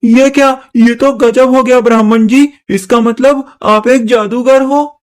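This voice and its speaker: A man's voice: very high-pitched, steady tone, a little slow, faint music behind. A man voicing a poor, earnest, plaintive young orphan boy in a moral-story cartoon. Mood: amazed.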